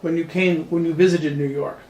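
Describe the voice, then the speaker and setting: A man's voice: a little high, fairly even intonation, a little fast, a little mellow. A man interviewing for an oral history, asking tentative, probing questions with frequent restarts. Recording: roomy distant mic with faint noise.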